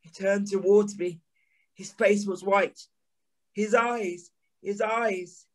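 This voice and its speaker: A man acts out a troubled gentleman narrating an audio drama. He is earnest and haunted, and he takes his words slowly, with deliberate dramatic weight. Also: high voice, steady tone. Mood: shaken, haunted. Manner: deliberate, dramatic, halting repetitions.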